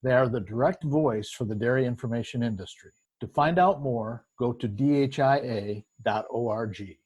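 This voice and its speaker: A man reads a scripted sponsor message in a steady, formal way. His voice is a bit husky. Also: medium pitch, moderate pace. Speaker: sponsor announcer.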